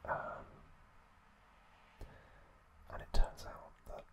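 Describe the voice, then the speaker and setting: A man whispering breathily and haltingly with long pauses, close to the microphone, as an ASMR host recapping a story. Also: fairly bright tone.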